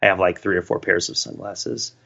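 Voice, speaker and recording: Bright, animated man on slightly dull audio. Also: sits low, brisk, creaky. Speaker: podcast co-host. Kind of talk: casual rambling chat.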